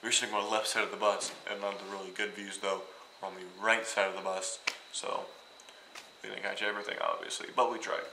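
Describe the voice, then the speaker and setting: A young man from Iowa rambling and joking as he vlogs from a hotel room, now and then bursting out loud. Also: lowish voice, flat delivery, quick pace, roomy sound, background noise. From Iowa, United States. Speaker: vlogger. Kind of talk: casual travel vlog.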